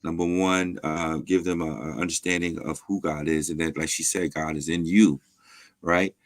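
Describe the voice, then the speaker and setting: A man, a pastor guest, explaining his views in a measured way with frequent pauses and fillers, often affirming the host. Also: low voice, steady tone, no echo. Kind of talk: conversational teaching.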